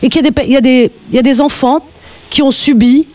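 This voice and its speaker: A woman with a very high-pitched voice hosts a live radio call-in. She speaks earnestly and emphatically, often repeating a phrase to drive it home. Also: steady tone, fast, dark tone.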